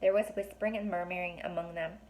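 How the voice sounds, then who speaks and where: A woman's voice: on the low side, fairly even intonation, fast talker, a little mellow, poor audio. A woman reading a children's novel aloud as narrator, carefully, with an occasional stumble. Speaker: storybook reader.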